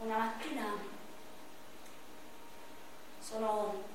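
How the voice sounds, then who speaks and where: Battered, bitter young man, played by an actress; shouts crude curses; distant, roomy stage sound. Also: a little high, normal speed, lightly acted. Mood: grim. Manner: shouting, crude, furious outbursts. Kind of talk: stage monologue. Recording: echoey stage from far off, noisy.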